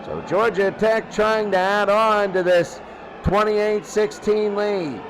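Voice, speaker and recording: A man's voice: sits high, fairly even intonation, slow, background noise. A man doing live radio play-by-play football commentary in clipped phrases that he often repeats. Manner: repeats phrases, clipped present-tense calls.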